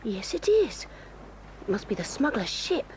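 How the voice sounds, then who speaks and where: Bold tomboy girl, high lively voice, eager whispery, old story tape. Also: a little slow, a little hiss or hum. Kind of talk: 1980s radio-style audio drama.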